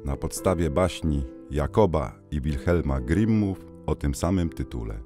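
A man's voice: a bass voice, lively intonation, measured pace, over music. A man reading scripted closing credits for an audiobook as an announcer.

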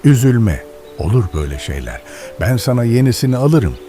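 A man's voice: medium pitch, big pitch swings, moderate pace, soft background music, close to an everyday voice. An actor playing a kind, pious old village grandfather who teaches patiently in a children's cartoon. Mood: friendly, caring. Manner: instructive, gentle moralizing. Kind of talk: educational children's cartoon dialogue.

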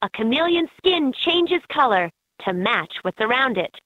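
A woman voicing an encouraging guide in a children's educational game, narrating in a clearly enunciated, instructive way. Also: fairly high pitch, big pitch swings, a little slow, no room sound. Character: encouraging female guide in a kids' animal learning game. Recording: muffled lo-fi handheld game audio clips.

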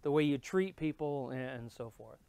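A man's voice: mid-range voice, lively intonation, normal speed, creaky, mellow, clean tone, no echo. A man in his fifties, a minister, telling his story and explaining in an earnest, conversational way, recorded on a lapel mic. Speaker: minister and church leadership speaker. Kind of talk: interview-style storytelling and explaining. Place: indoor studio with dark backdrop.

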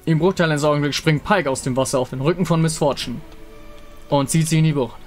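A man's voice: on the high side, quick pace, soft background music. A man reading scripted story narration as the narrator of a fantasy tale.

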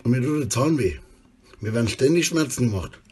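Middle-aged man, husky voice, disjointed rambling, frequent questioning tags, phone selfie monologue. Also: mid-range voice, lively intonation, a little fast, a little mellow. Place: small room indoors. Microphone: phone held at arm's length.

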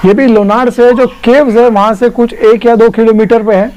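A man's voice: very high-pitched, steady tone, normal speed, a little mellow, lo-fi sound. A man, vlogging and explaining in a chatty way on a lapel mic, mixing English words in. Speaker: travel vlogger. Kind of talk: casual vlog narration.

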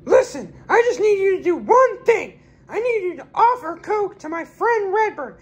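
A man's voice: extremely high pitch, big pitch swings, moderate pace, pitched above the performer's own voice. A man voicing a bratty, scheming young boy who whines, wheedles and turns bossy and threatening in a comedy skit.

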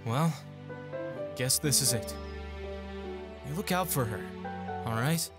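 A man's voice: medium pitch, expressive, takes it slowly, loud music behind the voice. A man playing an earnest, hesitant young farm lad, a protective older brother, in an audio drama. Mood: affectionate.

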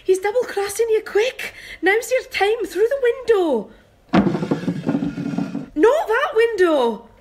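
A woman's voice: extremely high pitch, expressive, slow, pauses often, background noise, full character voice. A woman playing an earnest, wistful, timid Scottish farmer's wife in a homemade radio play. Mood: urgent, pleading. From Glasgow, Scotland.

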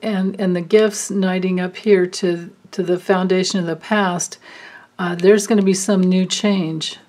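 Slow, musing woman reading cards. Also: lowish voice, fairly even intonation, darkish tone. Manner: slow, musing, thinking aloud. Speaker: card reader. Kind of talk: casual card reading with pauses and fillers. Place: indoors at a table, hands and cards filmed from above.

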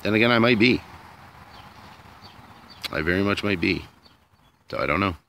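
Deep-voiced man musing outdoors. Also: expressive, moderate pace, bright, forceful tone. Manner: rambling, unsure, hedging aloud. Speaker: DIY vlogger. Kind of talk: casual thinking-aloud commentary. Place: outdoors in a sunny yard.

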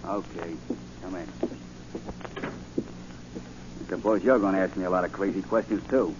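An actor playing a sullen, insistent psychic with rough, slangy talk in 1940s radio style. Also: male voice, low voice, steady tone, fast, lightly acted. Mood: suspicious. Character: sullen, insistent, streetwise male self-proclaimed psychic. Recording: muffled, faintly noisy 1940 radio recording.

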